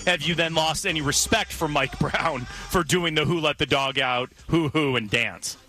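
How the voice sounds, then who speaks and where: A man's voice: fairly high pitch, moderate pace, pushed and bright, soft background music. A man from Cleveland with an American accent, hosting a casual, conversational sports talk radio show. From Cleveland, Ohio, USA.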